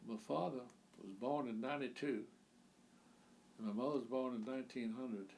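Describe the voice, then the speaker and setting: A man's voice: medium pitch, normal speed, creaky, darkish tone, a little hiss or hum. An eighty-year-old man from Virginia, recalling his family's farm life in an oral history interview. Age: 80s.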